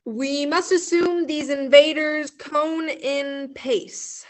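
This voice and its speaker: A woman's voice: high voice, steady tone, slow pace. A woman playing a blundering female army general in a school radio play, speaking stiffly and tripping over words. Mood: pompous.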